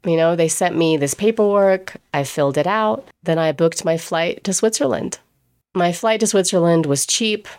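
A woman's voice: on the low side, moderate pace, a little mellow, smooth. A woman who grew up in England, a pastry chef, telling her own story in a chatty way, quoting the people she met and laughing often. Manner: chatty, laughs often, quotes others in dialogue. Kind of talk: personal storytelling monologue.

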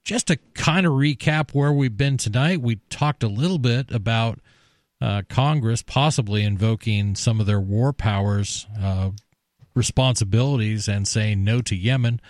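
A man's voice: mid-range voice, normal speed, darkish tone, no room sound, clean studio sound. A man hosting a talk radio show, steadily recapping topics and taking calls. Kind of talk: casual talk radio chat. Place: radio studio. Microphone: studio mic, close.

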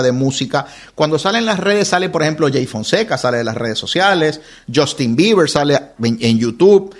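Chatty, higher-voiced man in his forties. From Ecuador. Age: 40s. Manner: chatty, animated, laughs often. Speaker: podcast guest, wine content creator. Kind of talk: casual interview storytelling.

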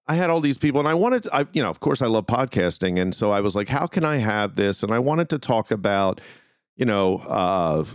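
A man's voice: low-pitched, smooth, no echo, clean studio sound. A man hosting a podcast, talking casually and informally to his listeners in a rambling, conversational way. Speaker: podcast host. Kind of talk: casual solo talk to listeners.

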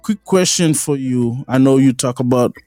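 A man's voice: mid-range voice, normal speed, mellow, no room sound, faint music behind. A man hosts a podcast, reading a sponsor spot and asking his guest questions in an upbeat, encouraging way. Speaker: podcast host. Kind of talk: casual podcast interview, sponsor read.

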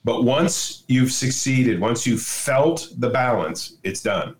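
A man's voice: medium pitch, expressive, takes it slowly. Older man telling stories in a roomy space. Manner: storytelling, folksy, opinionated. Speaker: podcast guest. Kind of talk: casual conversation. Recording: roomy echo.

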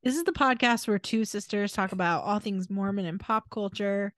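A woman's voice: mid-range voice, moderate pace, a bit bright, no room sound, studio quality. An American woman co-hosting a podcast in casual chat, telling stories in a chatty, wry way. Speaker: podcast co-host.

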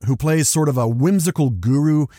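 A man's voice: on the high side, normal speed, warm tone, no room sound, studio quality. A man hosting a solo podcast of scripted reviews and commentary, with a theatrical, playful, emphatic delivery. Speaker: podcast host.

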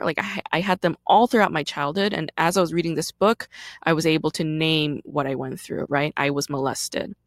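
Low-voiced woman podcast host, emphatic. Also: dry recording, studio quality. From South Korea, raised in Brooklyn. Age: 30s. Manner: candid and emphatic, often asking "right?". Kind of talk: personal monologue.

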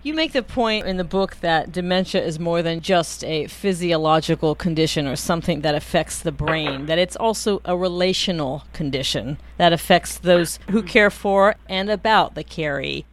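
An interview host asking questions and giving frequent quiet affirmations. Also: mid-range voice, normal speed, hardly any pauses, slight background noise. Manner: attentive, lots of quiet affirmations. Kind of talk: conversational interview.

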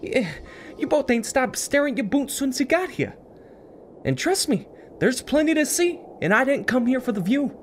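A man's voice: very high voice, sing-song, moderate pace, slight background noise. A man voicing a sardonic, impatient New York City detective in an audio drama, blunt and skeptical. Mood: confrontational, sarcastic.

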